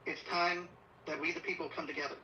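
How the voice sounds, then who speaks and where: A man's voice: fairly high pitch, fairly even intonation, bright, forceful tone. Man's distant, muffled, tense police statement. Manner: solemn, deliberate, urgent appeal. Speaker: assistant police chief. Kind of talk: earnest prepared video statement. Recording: distant, muffled playback with faint noise. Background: faint background noise.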